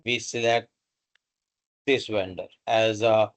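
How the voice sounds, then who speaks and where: A man with a slightly low voice walks through a software tutorial. He speaks very slowly, in short instructional phrases with halting pauses between the steps. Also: steady tone. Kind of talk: step-by-step screen tutorial narration.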